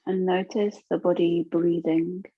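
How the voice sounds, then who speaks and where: A woman teaching yoga nidra, guiding a relaxation meditation in a gentle, soothing, unhurried way with long pauses. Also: on the low side, flat delivery, dry recording, narrow, tinny audio.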